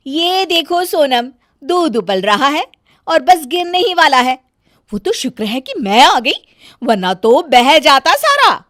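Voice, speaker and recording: A shrill young daughter-in-law from a family storybook tale, acted by a woman narrator. She nags and boasts in a very high, swooping voice and sounds fussy and sure of herself. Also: very animated, slow pace, a bit bright, no echo, clean studio sound, exaggerated voice. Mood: scolding, fussy. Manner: shrill, scolding, self-important.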